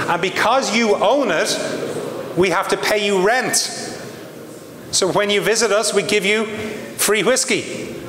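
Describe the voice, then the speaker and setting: Irish man pitching whisky in an echoey hall. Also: background noise. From Ireland. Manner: lively salesman patter, audience asides. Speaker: brand ambassador presenter. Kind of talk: live trade presentation.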